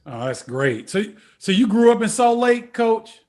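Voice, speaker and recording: Man, show host, interview, fairly high pitch, long rambling questions. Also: big pitch swings, a little slow, a little mellow. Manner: affirming listener, long questions. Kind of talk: interview hosting.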